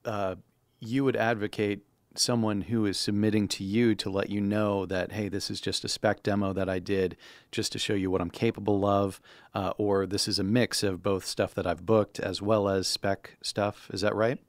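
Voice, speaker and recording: Low-voiced man, dry close sound. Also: flat delivery, normal speed, no echo. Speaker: podcast co-host. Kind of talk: interview questions.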